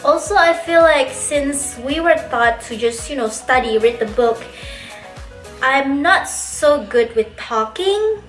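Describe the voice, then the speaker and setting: A woman in her twenties from Indonesia, talking casually and reflectively to camera in her bedroom, often breaking into soft laughs. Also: sits high, lively intonation, takes it slowly, reverberant, faint music behind. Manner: chatty, reflective, often laughs softly mid-sentence. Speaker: vlogger.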